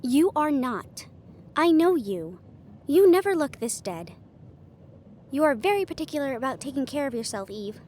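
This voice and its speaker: A woman's voice: high voice, big pitch swings, normal speed, darkish tone, slight background noise. A woman playing a blunt, protective young teammate in a fantasy audio roleplay, frank and sardonic in delivery. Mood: insistent, concerned.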